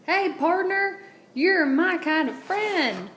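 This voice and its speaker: A woman's voice: very high voice, expressive, slow pace, a bit bright, pitched above the performer's own voice, full character voice. A woman voicing an eager, friendly young male armadillo who hollers exuberantly in a storybook. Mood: eager, excited. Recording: roomy echo, clear background noise.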